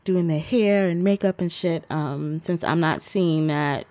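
A woman's voice: sits low, measured pace, warm tone, no echo. A woman co-hosting a podcast, chatting in a slangy, informal, rambling way. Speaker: podcast co-host. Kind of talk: casual chat.

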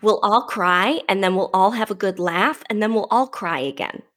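A woman's voice: medium pitch, moderate pace, fairly bright tone. A woman hosting a podcast, effusive and enthusiastic, with many fillers as she leads the interview. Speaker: podcast host. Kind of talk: conversational interview.

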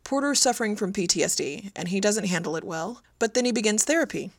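A woman's voice: mid-range voice, lively intonation, fast, creaky, no echo, studio quality. A middle-aged woman, an audio drama creator, giving an informal prepared account of her own work. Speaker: audio drama writer and director.

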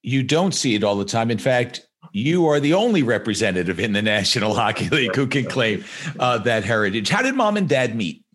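A man's voice: mid-range voice, big pitch swings, fairly quick, few pauses, a bit bright. A man hosting an interview, asking questions fluently and with enthusiasm.

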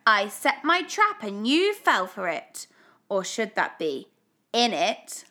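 A woman's voice: mid-range voice, wildly swooping pitch, takes it slowly, bright, forceful tone, exaggerated voice. A woman voicing a heroic potato superhero in a children's storybook, bold, confident and declamatory. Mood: bold, triumphant. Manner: declamatory, triumphant.

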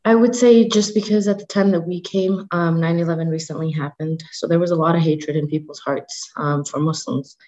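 Earnest low-voiced woman from Afghanistan. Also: fairly even intonation, fairly quick, few pauses, darkish tone, muffled recording. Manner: earnest, with frequent you-knows. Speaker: refugee agency staff member. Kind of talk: news interview.

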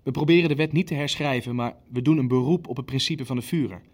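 A man playing a self-assured, coldly formal Nazi chairman in a Dutch radio play, speaking in measured phrases. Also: medium pitch, darkish tone, close to an everyday voice. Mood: formal, satisfied. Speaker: meeting chairman.

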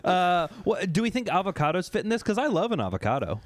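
A man's voice: sits high, very animated, fast talker, dry recording. Laid-back male co-host with dry, close-mic sound. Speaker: podcast co-host. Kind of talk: casual comedic chat.

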